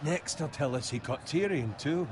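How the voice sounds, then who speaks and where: A man's voice: a little high, animated, moderate pace, lightly acted. Cocky soldier played by a man, noisy background. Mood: mocking. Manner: blunt, mocking. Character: cocky, teasing young soldier in a medieval army camp. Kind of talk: video game fantasy acting.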